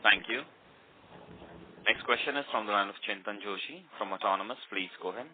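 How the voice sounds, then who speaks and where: Low-voiced male operator on phone line. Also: brisk. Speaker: conference call operator. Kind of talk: formulaic announcements. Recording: faintly noisy phone line.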